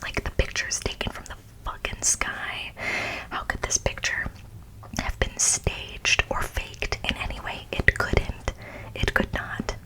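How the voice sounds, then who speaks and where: A woman speaks entirely in a breathy whisper, in the style of an ASMR video. Her delivery is informal and conversational. She stresses her points and often repeats phrases for emphasis. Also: poor audio. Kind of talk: whispered ASMR, part reading, part casual commentary.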